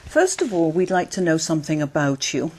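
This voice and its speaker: Lively-voiced woman examiner. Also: low-pitched, a little hiss or hum. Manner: measured, clear prompting with brief acknowledgements. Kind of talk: scripted exam questions.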